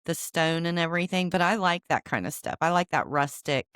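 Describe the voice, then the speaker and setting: A woman's voice: fairly low pitch, a little fast, a bit bright, clear, resonant tone, dry recording. A nasal-sounding woman co-hosting a casual podcast, easygoing and agreeable while still getting over being sick. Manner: easygoing, agreeable, frequent mm-hmms. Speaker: podcast co-host. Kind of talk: casual chat.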